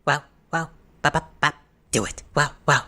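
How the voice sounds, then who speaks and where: A man voicing an excitable robot in a video game parody, babbling in a disjointed, repetitive way. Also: on the high side, moderate pace, no echo. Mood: excited babbling. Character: excitable, babbling male robot sphere speaking nonsense.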